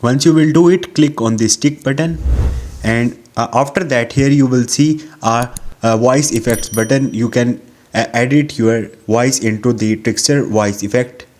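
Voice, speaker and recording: A man's voice: mid-range voice, a little slow, a little mellow, slight background noise. A man hosting a how-to tutorial, giving instructions step by step in a narrating style. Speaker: tutorial channel host.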